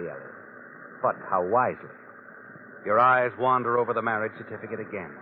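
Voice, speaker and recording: An actor playing an omniscient, taunting narrator of crime tales in vintage radio drama style, dramatic and knowing. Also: male voice, mid-range voice, a little hiss or hum, close to an everyday voice. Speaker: host and narrator. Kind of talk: 1940s-50s radio drama narration in second person. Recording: tinny band-limited vintage radio recording. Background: dramatic music.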